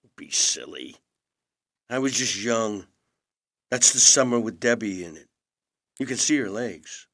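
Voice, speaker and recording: Low-voiced, charming older brother. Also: male voice, animated, measured pace, long pauses, no echo, studio quality. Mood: fond, teasing. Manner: gentle, wry, understated. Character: aging movie-star brother, charming, evasive, gently attentive. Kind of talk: audio drama acting.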